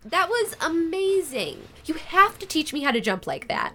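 A woman's voice: very high-pitched, big pitch swings, moderate pace, fairly bright tone, full character voice. A woman acting in an audio drama as a stubborn, sarcastic older sister in her twenties, with dry, blunt comebacks. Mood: playful.

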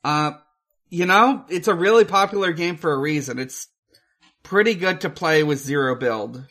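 A man's voice: on the high side, moderate pace, bright, forceful tone. A man co-hosting a podcast in casual chat, speaking hesitantly with many filler words. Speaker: podcast co-host. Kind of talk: casual chat about films and video games.

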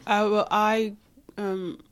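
A woman in her thirties, raised in Kenya with Nuer as her first language, answers interview questions with many pauses and fillers. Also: medium pitch, flat delivery, clear, resonant tone. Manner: hesitant, many fillers and restarts. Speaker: guest. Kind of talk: interview conversation.

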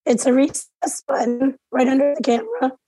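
A hoarse woman teaching a class over a video call, explaining each step aloud and often clearing her throat. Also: high voice, big pitch swings, normal speed, dark tone, dry recording, clean studio sound. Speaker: instructor.

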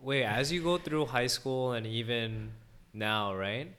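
A man's voice: medium pitch, fairly even intonation, takes it slowly, a bit bright, clean tone. A man hosting a casual interview, asking probing questions with a teasing edge. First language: English. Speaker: podcast host.

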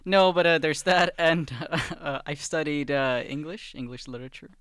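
A man's voice: a little high, moderate pace, fairly bright tone, smooth, dry recording, clean studio sound. A man from Sweden, a podcast guest in casual chat, talking animatedly and laughing easily.

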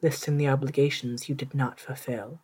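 A storyteller of ambiguous gender narrating a literary tale in a soft, hushed, breathy voice, with measured and deliberate phrasing. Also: mid-range voice, level tone. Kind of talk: scripted literary narration in second person, storytelling.

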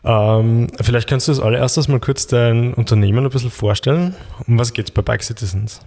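A man from Graz with an Austrian German accent, hosting a relaxed conversational interview and asking questions with frequent hesitation fillers. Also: medium pitch, brisk. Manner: friendly, asks with hesitation fillers. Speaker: interviewer, podcast host.

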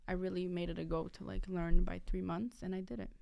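Brazilian woman with a gravelly voice. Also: lowish voice, flat delivery, a little fast, faint music behind. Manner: chatty, laughs easily, trails into soft asides. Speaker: podcast co-host. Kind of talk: casual chat.

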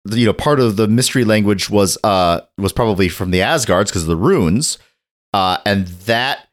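A man talking with a lot of lift and swing in his voice, jumping from deep asides to high, excited bits. He's a bit gravelly from a head cold and keeps riffing and joking through his rundown. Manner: animated, jokey, drifts into bits and riffs. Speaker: podcast co-host. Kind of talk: casual chat.

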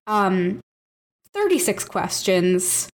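A woman's voice: mid-range voice, big pitch swings, drawn-out words. A woman co-hosting a podcast, chatting enthusiastically and swearing casually. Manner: enthusiastic, swears freely, laughs often. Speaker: podcast co-host.

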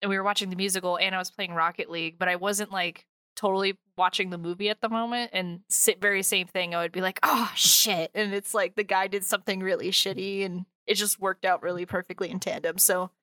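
A woman hosting a podcast, chatting casually in an informal, expressive, slangy way. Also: mid-range voice, brisk, hardly any pauses, dry recording. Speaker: podcast host.

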